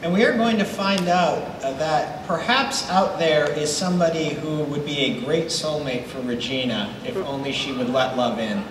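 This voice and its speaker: A man's voice: fairly high pitch, moderate pace, few pauses. A man speaking as a show creator on a live panel, playfully coy and enthusiastic. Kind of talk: live panel interview, teasing upcoming plots. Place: large indoor convention hall stage. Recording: echoey hall, distant, noisy background.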